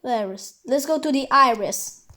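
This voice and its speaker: Young woman doing a reaction vid, high voice, blurts little comments n exclamations. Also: lively intonation, a little slow, pushed and bright. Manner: blurts short remarks and exclamations. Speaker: reaction video host. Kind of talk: casual live reaction chat.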